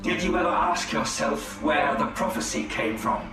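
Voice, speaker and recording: An actor playing a cunning Eldar conspirator who speaks in a smooth, persuasive way. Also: male voice, a little high, normal speed, close to an everyday voice. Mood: conspiratorial. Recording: echoey, distant game audio with music.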